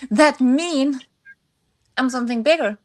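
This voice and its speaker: Female podcast guest, high-pitched, Danish accent, second-language speaker, conversational and somewhat halting phrasing. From Denmark. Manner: halting, searching for words in non-native English. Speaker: singer-songwriter, podcast guest. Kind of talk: conversational interview answers.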